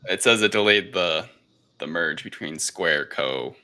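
A man's voice: low voice, lively intonation, unhurried, sharp tone. A man hosting a casual podcast film review, speaking in a hesitant, unscripted, rambling way. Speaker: podcast host.

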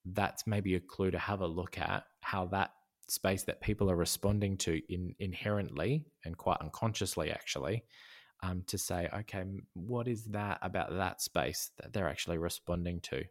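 A man's voice: deep voice, normal speed. A man talking as a podcast guest in a casual conversation, thoughtful, with many fillers and restarts. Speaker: podcast guest, design educator.

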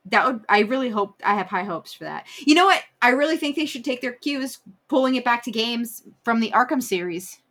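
A woman's voice: a little high, lively intonation, fairly quick, few pauses, a bit bright. Woman chatting as an enthusiastic fan. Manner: enthusiastic, opinionated, informal fan talk. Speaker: podcast co-host. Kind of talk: casual pop-culture discussion.

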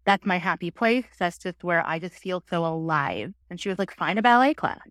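A woman who is a priest, telling her story as a guest on a podcast in an earnest, expressive way. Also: moderate pace, dry recording, studio quality. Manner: earnest and expressive, with lively pitch jumps. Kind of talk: conversational interview storytelling.

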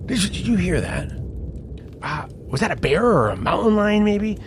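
A man's voice: high-pitched, big pitch swings, moderate pace. An actor playing an eager, talkative newspaperman in a western audio drama, with a hesitant, stammering, wordy delivery. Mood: nervous, alarmed. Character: eager, jittery, talkative male newspaperman out west. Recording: noisy ambience behind the voice.

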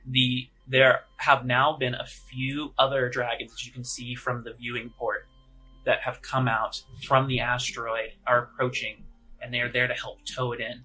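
A man's voice: medium pitch, little pitch movement, normal speed, sharp tone, husky, rough recording. A man narrating a tabletop role-playing game as game master in a conversational, descriptive, improvised way.

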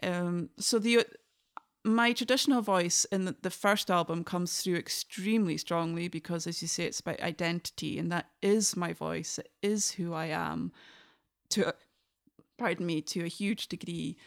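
A woman's voice: on the low side, normal speed, creaky. A Scottish woman in her forties, a guest musician talking thoughtfully in a reflective conversation, often restarting her sentences. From Scotland.

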